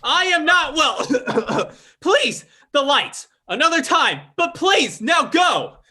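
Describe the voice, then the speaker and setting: An actor playing a scheming, defiant fake psychic in a live old-time radio drama, with a theatrical, formal delivery. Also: male voice, very high voice, big pitch swings, slow, pushed and bright, exaggerated voice. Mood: moaning. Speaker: stage actor in radio play.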